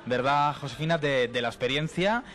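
A man's voice: a little high, animated, normal speed. A man from Spain doing a live on-location interview as a radio reporter, chatty and jokey.

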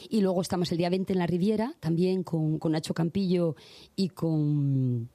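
A woman's voice: fairly low pitch, normal speed, warm tone, dry recording. A woman guest, a singer and activist, talking passionately in long runs. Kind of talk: casual interview chat.

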